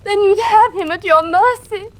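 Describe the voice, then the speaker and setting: A very high-voiced, distraught wronged wife. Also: female voice, normal speed, slight background noise. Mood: anxious, vengeful. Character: abused, bitter, distraught wife of a villain. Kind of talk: radio drama acting.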